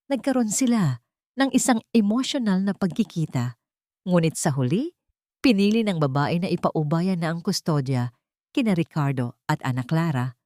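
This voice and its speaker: A woman narrating a scripted romantic drama audiobook in a measured, dramatic storytelling manner. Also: fairly low pitch, animated, darkish tone, dry recording, clean studio sound.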